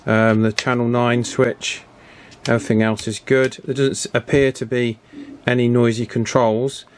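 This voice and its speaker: A man giving a casual, chatty hands-on repair commentary in an unhurried way, as if thinking aloud. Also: medium pitch, flat delivery, slight background noise. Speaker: radio repair hobbyist presenting his work.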